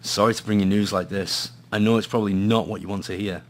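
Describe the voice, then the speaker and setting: Low-voiced actor as a brooding noir detective man. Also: steady tone, fast, slight background noise, lightly acted. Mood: regretful. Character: gloomy hard-boiled male noir detective. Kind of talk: noir radio play acting, narration and dialogue.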